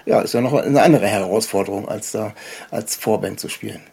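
A man's voice: on the low side, brisk, gravelly, a little mellow, breathy edge. A man hosting a podcast in casual interview chat, with hesitant, meandering phrasing. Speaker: podcast host.

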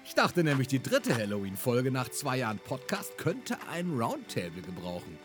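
A man's voice: mid-range voice, wildly swooping pitch, normal speed. A man who co-hosts a podcast, chatting casually as himself in an audio play. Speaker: podcast co-host. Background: faint music.

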